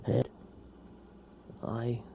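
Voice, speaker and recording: A man voicing a timid, polite little male toad in a storybook, speaking softly and hesitantly. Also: very slow, slight background noise. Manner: hesitant, soft, stammering.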